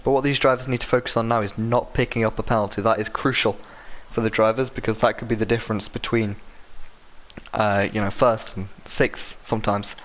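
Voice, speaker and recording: A man giving live commentary on an online racing league, his voice croaky from a sore throat, rambling a bit and correcting himself with plenty of ums and uhs. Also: fairly low pitch, fairly even intonation, fairly quick, a bit bright, poor audio. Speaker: race commentator.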